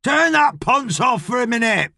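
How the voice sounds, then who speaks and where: A man's voice: high-pitched, sing-song, takes it slowly, sharp tone, no echo, studio quality, pitched above the performer's own voice, exaggerated voice. A man voicing an irritable, shady middle-aged boss in an audiobook, blunt and sweary. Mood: exasperated. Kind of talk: audiobook character voice.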